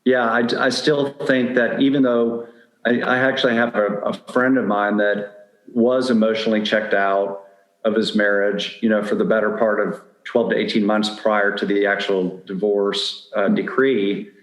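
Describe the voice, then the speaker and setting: A man's voice: on the low side, fairly even intonation, normal speed. Man, middle-aged, frank podcast guest, conversational, telling personal anecdotes, roomy echo. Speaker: podcast guest giving dating advice. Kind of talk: candid conversational interview.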